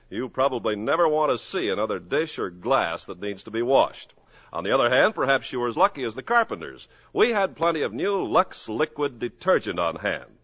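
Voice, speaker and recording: A man's voice: medium pitch, fairly bright tone. A man reading a product commercial as the announcer of a 1950s radio show, with a cheerful salesman delivery. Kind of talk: read commercial. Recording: old 1950s radio broadcast.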